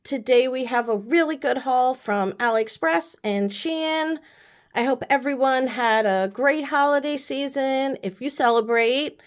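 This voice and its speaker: A young woman talks through a beauty haul in a loose, rambling way, full of "like" and "um". She is still sick with the flu, and her voice sits a little high. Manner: chatty, rambling, lots of fillers. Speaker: beauty vlogger. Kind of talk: casual haul chat.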